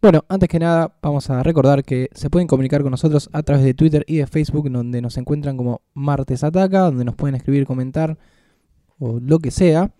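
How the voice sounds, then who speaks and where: A man with an Argentine Spanish accent, co-hosting a casual podcast and telling anecdotes in a lively, joking way. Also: fairly high pitch, fairly quick, no echo, clean studio sound. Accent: Rioplatense Spanish. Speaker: podcast co-host.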